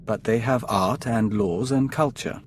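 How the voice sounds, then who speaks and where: A man's voice: on the low side, a little slow, slight background noise, lightly acted. A man playing a formal intelligence analyst in a video game briefing, precise, clipped and matter-of-fact. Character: crisp, formal male Imperial intelligence analyst.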